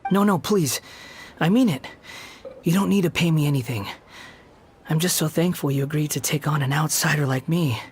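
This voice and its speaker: A man's voice: on the high side, moderate pace, slight background noise, close to an everyday voice. A man voicing a polite, earnest young shop helper in a video game, with a deferential, apologetic manner. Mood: earnest, grateful. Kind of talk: English video game voice acting.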